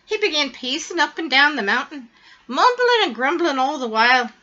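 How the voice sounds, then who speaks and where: A woman reading a children's story aloud in an expressive, dramatic way, drawing out words for emphasis. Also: high-pitched, normal speed, lo-fi sound. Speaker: storybook reader.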